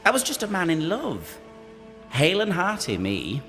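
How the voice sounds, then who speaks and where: A man voicing the flirtatious, deluded ghost of a sickly, lovesick gentleman in a theatrical, singsong video game performance. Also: fairly high pitch, huge pitch swings, moderate pace. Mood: defensive, dismissive. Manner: theatrical, singsong, repeating phrases. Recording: long echoing reverb, music underneath.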